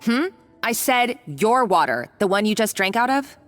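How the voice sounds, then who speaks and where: A woman's voice: mid-range voice, big pitch swings, moderate pace, soft background music, lightly acted. An actress voicing a scheming, mocking woman villain in a video game, speaking in a bossy, condescending way. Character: scheming, taunting woman villain, cruel and gloating. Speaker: game character.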